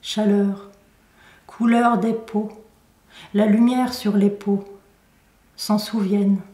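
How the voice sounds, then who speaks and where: A woman recites poetry at a slow, deliberate pace, pausing between short phrases. Her tone is mellow and dark. The room echoes around her voice. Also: medium pitch, little pitch movement. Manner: measured, deliberate phrasing with pauses. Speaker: poet reading own work. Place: indoor room at a poetry venue. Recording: roomy echo, recorded from a distance.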